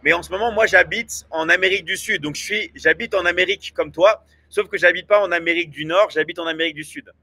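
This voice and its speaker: A man hosting a live online stream talks eagerly, looping through fillers and repeats. His voice sits a little high and bright, with a forceful edge. The sound is dry and close. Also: quick pace, hardly any pauses, no room sound. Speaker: live show host. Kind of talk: live stream sales chat. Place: at home in South America.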